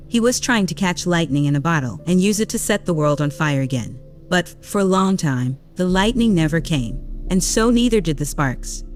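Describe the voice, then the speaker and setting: Low-voiced woman narrating a story.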